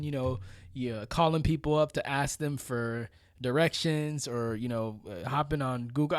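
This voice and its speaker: A man's voice: on the high side, dry recording, clean studio sound. An American man from Florida giving a casual motivational monologue, conversational and emphatic, often checking in with rhetorical questions and asides. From Florida, United States. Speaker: vlogger.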